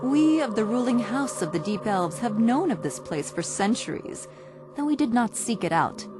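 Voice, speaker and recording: A woman playing a regal, scheming and seductive dark elf princess in a fantasy game, with formal, imperious diction. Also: on the high side, big pitch swings, moderate pace. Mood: formal, composed. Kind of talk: fantasy video game cutscene acting. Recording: loud music under the voice.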